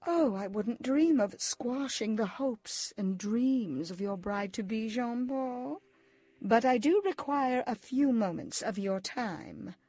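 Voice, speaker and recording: An actress playing a coolly taunting, mock-polite villainess in a gothic soap audio drama, in a measured theatrical delivery. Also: female voice, on the high side, animated, dark tone, no echo, heavily acted. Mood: smooth taunting.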